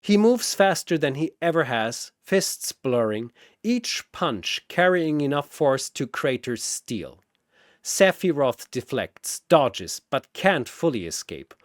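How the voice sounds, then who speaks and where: A man's voice: medium pitch, big pitch swings, slow pace, dry recording, studio quality. A man narrating a scripted fantasy battle theatrically, often in short, clipped phrases.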